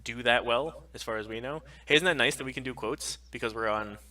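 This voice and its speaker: A man's voice: mid-range voice, brisk, bright, forceful tone, recorded from a distance. A man co-hosting a casual livestream podcast, talking emphatically and freely. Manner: emphatic, frequent swearing. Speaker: podcast co-host.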